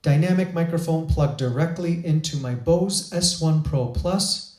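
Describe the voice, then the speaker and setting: A man's voice: a little high, a little slow, darkish tone. A man hosting a gear review, talking in an enthusiastic, chatty way with small restarts, recorded on a shotgun microphone. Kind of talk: product review talk.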